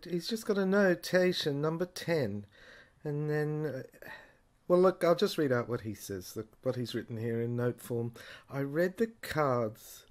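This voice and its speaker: A man's voice: a little high, a little mellow. A man playing an investigator in an audio drama, hesitantly muttering to himself as he puzzles through written notes. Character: musing male investigator puzzling over someone's handwritten notes. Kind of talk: low-key conversational audio drama monologue.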